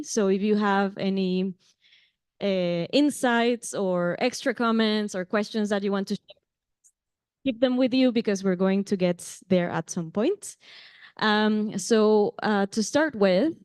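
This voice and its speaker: Smooth-voiced Mexican woman moderating a panel. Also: medium pitch, no echo, studio quality. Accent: Mexican Spanish. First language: Spanish. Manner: warm, light laughs, frequent ums and restarts. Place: conference venue.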